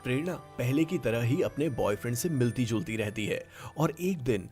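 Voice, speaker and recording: A man's voice: mid-range voice, animated, moderate pace, a little mellow, soft background music. A man reading scripted story narration as a storyteller.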